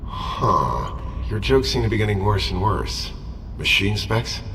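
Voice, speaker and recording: A reassuring mentor from a video game, gently chiding in a dry, lively-pitched low voice. He sounds steady, set in long reverb over rumbling noise. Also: measured pace, far from the mic. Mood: reassuring, wry. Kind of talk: scripted video game voice acting.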